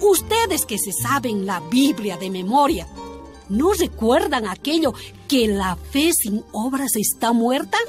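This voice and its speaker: Outspoken, indignant heroine, Bolivian Spanish, high animated voice, radio play with music. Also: female voice, takes it slowly. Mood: defiant. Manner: passionate, argumentative, indignant. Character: defiant, outspoken working-class woman. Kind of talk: radio drama acting.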